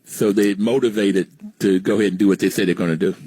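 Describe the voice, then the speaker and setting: A man's voice: on the low side, steady tone, fast talker, warm tone, husky, no echo, poor audio. An American man from West Virginia hosting a conversational radio interview, asking questions and explaining points to listeners. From West Virginia, United States. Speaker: radio show host, interviewer.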